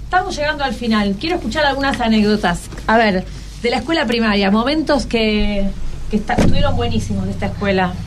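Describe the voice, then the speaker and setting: An Argentine woman with a Rioplatense accent hosts a casual radio interview. Her voice sits a little high, and she keeps prompting with questions in an encouraging way. Clear ambient noise runs behind her. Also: fast talker. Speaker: radio host interviewing schoolchildren. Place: radio programme with schoolchildren present.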